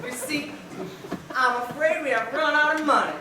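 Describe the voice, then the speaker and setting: A teenage girl playing a gentle, anxious, protective mother in a stage melodrama. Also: high-pitched, moderate pace, lightly acted. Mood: tender, worried. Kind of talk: high school stage melodrama. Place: large school theatre stage, filmed from afar. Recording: echoey auditorium from far off, noisy.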